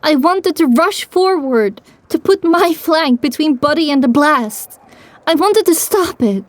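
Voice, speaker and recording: Woman playing high-voiced grieving young pony mare. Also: lively intonation. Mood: grief-stricken. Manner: emotional, halting, drawn-out delivery. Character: grieving, determined young female pony recounting her tale. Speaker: narrator. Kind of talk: first-person dramatic audiobook narration.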